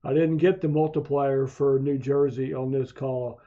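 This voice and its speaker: A man narrating a software tutorial as a hobbyist, explaining things in a methodical, measured way. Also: mid-range voice, steady tone. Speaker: amateur radio hobbyist hosting video tutorials. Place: home radio shack. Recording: dull, muffled lo-fi voice track.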